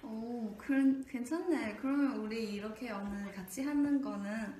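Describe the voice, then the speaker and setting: A young woman co-hosting a casual show, soft-spoken and unhurried, sometimes dropping to a near whisper. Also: on the high side. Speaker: radio show co-host. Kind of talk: casual chat. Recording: echoey room with faint background noise.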